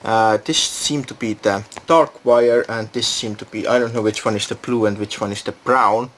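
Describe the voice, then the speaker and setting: A man's voice: fairly low pitch, fairly even intonation, moderate pace, fairly bright tone, slight background noise. A man giving a casual, unscripted product demonstration, thinking aloud and hesitating as he goes. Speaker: product reviewer.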